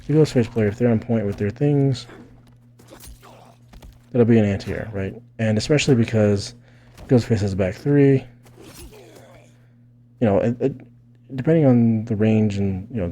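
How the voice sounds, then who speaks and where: A man's voice: lowish voice, warm tone. A man giving a casual fighting game tutorial, thinking aloud in a rambling way and often checking in with "right?". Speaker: gaming video creator explaining techniques.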